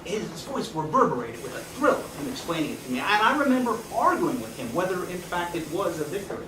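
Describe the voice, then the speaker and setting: An actor playing a weary, regretful middle-aged man in a live stage play, speaking in a reflective, halting, defensive way. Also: high voice, very animated. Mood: reflective, brooding. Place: small theatre stage, indoors. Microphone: far camera. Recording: noisy, echoey theatre stage from far off.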